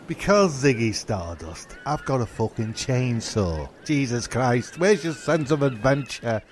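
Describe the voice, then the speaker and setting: A man's voice: mid-range voice, lively intonation, unhurried, lightly acted. Man acting a blunt, impatient castaway. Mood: irritated. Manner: blunt, sarcastic. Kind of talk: comedy audio drama.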